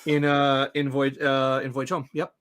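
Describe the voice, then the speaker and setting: A man co-hosting a podcast, talking casually about a TV series with halting restarts. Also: mid-range voice, monotone, fairly bright tone, clean tone. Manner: halting, many restarts and fillers. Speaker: podcast co-host. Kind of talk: casual fan discussion.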